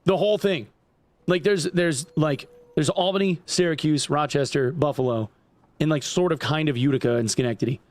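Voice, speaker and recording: A man's voice: a little high, normal speed. A man in his forties from upstate New York, chatting casually and profanely with his livestream audience while he games, rambling with lots of "like" and "dude". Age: 40s. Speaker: gaming streamer.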